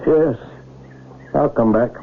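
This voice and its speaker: An actor playing a wry, resigned small-town mayor in an old-time radio drama. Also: male voice, mid-range voice, takes it slowly, vocal fry. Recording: tinny radio broadcast with background noise.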